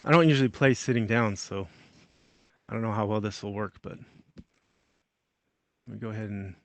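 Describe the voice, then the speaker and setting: A man's voice: fairly low pitch, moderate pace, no echo. A man hosting a casual tutorial, somewhat hesitant as he thinks aloud. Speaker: tutorial host.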